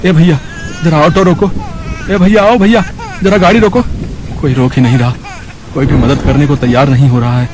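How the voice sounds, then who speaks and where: A man voicing a poor, kind-hearted street food vendor in a cartoon story, earnest and plaintive, with a husky voice. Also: on the high side, steady tone, moderate pace, pauses often, crowd noise, noisy background, natural voice. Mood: calling out urgently. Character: poor, worried, kind-hearted male pani puri vendor.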